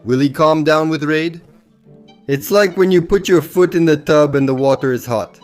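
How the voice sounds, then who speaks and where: A hoarse man hosting a comedy show and reading viewer comments with quick, jokey asides. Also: on the high side, faint music behind.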